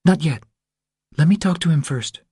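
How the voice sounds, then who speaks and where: A man voicing an anxious young wife in an audiobook, hushed and hesitant, with a husky tone. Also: on the high side, normal speed, no echo, studio quality, pitched above the performer's own voice. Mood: anxious, urgent.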